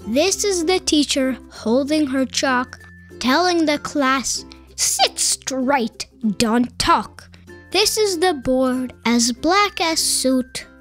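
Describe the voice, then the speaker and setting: High-pitched, lively woman reading a storybook. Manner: animated, unhurried, deliberate phrasing. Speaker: storybook narrator. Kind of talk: expressive children's read-aloud narration. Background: children's music.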